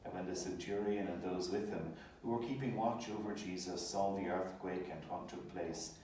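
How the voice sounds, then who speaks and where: A low-voiced man reads scripture solemnly, in a measured and formal way. The sound is very reverberant and distant from the microphone, with faint noise behind him. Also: steady tone, mellow. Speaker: clergy reader, Gospel narrator. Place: hospital chapel. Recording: echoey, distant mic, faint ambient noise.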